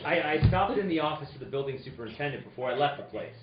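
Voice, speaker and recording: A man's voice: fairly high pitch, animated, fast, close to an everyday voice. A man playing an impatient, dogged insurance investigator, terse and wry, in an old-time radio detective play. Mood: wry narrating. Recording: roomy, distant, dull, faintly noisy.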